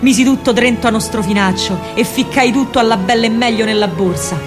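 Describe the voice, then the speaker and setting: A woman with a Roman accent performing an expressive, colloquial first-person monologue as a young partisan courier girl in a radio drama. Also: on the high side, fairly quick, music in the background. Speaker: actress narrating her own monologue.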